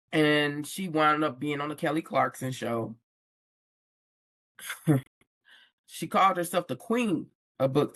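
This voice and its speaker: A woman's voice: sits low, dry recording. A woman guest on a podcast, talking candidly in casual chat and telling stories with plenty of slang. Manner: candid, slang-laced storytelling. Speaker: podcast guest, book influencer. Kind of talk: casual conversation.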